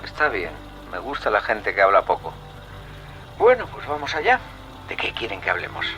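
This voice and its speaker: Deep-voiced, chillingly calm killer. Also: male voice, faint music behind, full character voice, poor audio. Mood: calm, courteous. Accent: Spain. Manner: measured, coldly matter-of-fact, darkly sardonic. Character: calm, chilling serial killer recounting his crimes in an interview. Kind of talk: Spanish radio drama acting.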